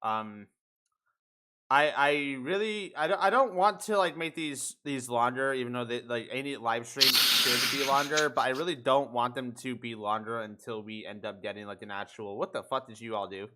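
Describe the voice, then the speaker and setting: A man's voice: medium pitch, normal speed, pushed and bright. A man hosting a livestream chat in a casual, rambling way with swearing. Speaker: host of a podcast livestream.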